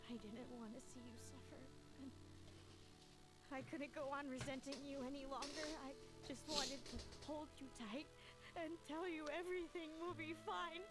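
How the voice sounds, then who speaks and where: Solemn fatalistic young seer, music behind. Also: female voice, high-pitched, rough recording. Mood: tearful, tender. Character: melancholy fatalistic young woman seer, Linnea's long-lost sister. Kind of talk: scripted video game voice acting. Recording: loud music under the voice. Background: game music.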